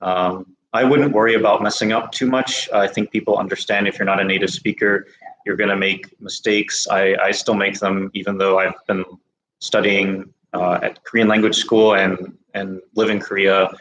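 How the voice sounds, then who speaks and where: American guy hosting a live q&a, kinda low voice, lots of ums, roomy echo and faint hiss. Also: moderate pace. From United States. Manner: relaxed, many fillers and restarts. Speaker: host of a study-abroad livestream. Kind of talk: casual live Q&A chat.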